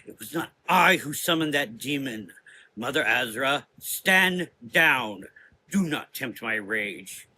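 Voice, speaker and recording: A man playing a mysterious, formal and quietly menacing dark antihero in a gothic audio drama, speaking in measured phrases. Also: mid-range voice, expressive, slight background noise, exaggerated voice. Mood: restrained anger.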